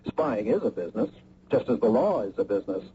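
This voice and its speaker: An actor playing a guarded, wry middle-aged government lawyer and secret agent in a radio mystery drama. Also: male voice, fairly low pitch, very animated, fast, mellow. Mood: guarded, dismissive. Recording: muffled, noisy old radio broadcast.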